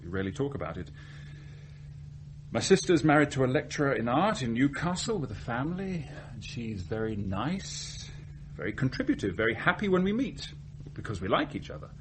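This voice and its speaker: An actor playing an earnest, lovestruck research scientist in a radio play, confessing his feelings in a hesitant, deliberate way. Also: mid-range voice, big pitch swings, slight background noise, lightly acted. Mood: earnest, measured. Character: earnest, lovestruck, self-searching male research scientist from genteel Salisbury. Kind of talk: radio drama acting.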